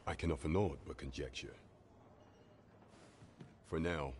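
An actor playing a grave, loyal guard captain in a fantasy game, with formal, measured, courtly archaic speech. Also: male voice, a bass voice, a little mellow, a little hiss or hum. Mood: earnest, resolute. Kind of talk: scripted video game dialogue in archaic English.

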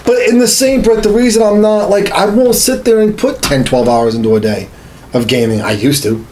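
A man's voice: sits high, lively intonation, normal speed, a little mellow. Man chatting emphatically over some background noise. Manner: profane, emphatic, repetitive. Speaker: podcast host. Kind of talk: casual gaming chat. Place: small home studio room, indoors.

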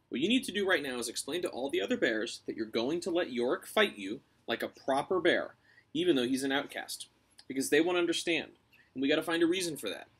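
A man voicing a brave, cunning young girl, coaxing and deferential as she flatters. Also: a little high, breathy edge, voice pushed up, full character voice. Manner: coaxing, deferential, quick-thinking.

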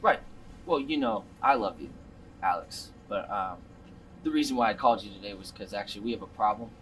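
An actor with an American accent playing a defensive, swaggering brother with worry underneath, in a naturalistic drama. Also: male voice, on the low side, a little fast, a little hiss or hum, natural voice, low-quality recording. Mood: serious, worried. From Virginia, United States. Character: defensive adult brother putting on swaggering Italian airs, worried underneath. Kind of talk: naturalistic film dialogue.

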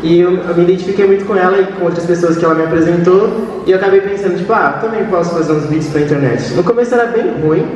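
Man, young adult, fairly high voice, relaxed and hesitant, handheld mic, distant hall, crowd noise. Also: rapid-fire. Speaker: youtuber, channel host. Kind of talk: casual talk on a panel. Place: large hall stage, seated on a couch. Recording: echoey hall from far off, crowd.

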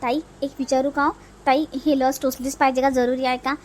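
A woman's voice: high voice, flat delivery, a little fast, a little mellow, natural voice. A woman voicing an anxious young first-time mother in a comedy cartoon, fretful and pleading, with a Vidarbha Marathi accent. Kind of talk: comedy cartoon family dialogue.

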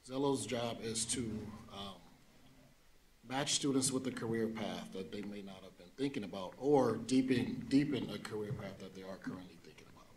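Low-voiced man explaining at a board meeting. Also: moderate pace, darkish tone. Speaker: district program presenter. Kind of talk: presentation answer. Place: meeting room. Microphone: desk gooseneck mic.

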